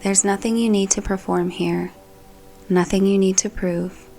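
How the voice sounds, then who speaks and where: A woman narrating a scripted guided meditation in a calm, gentle, soothing manner. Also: mid-range voice, measured pace, gravelly. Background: background music.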